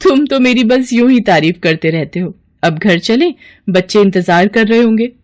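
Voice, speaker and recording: A woman's voice: medium pitch, moderate pace, close to an everyday voice. Woman playing a devoted, encouraging village wife. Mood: affectionate. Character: devoted, encouraging wife who makes sweets.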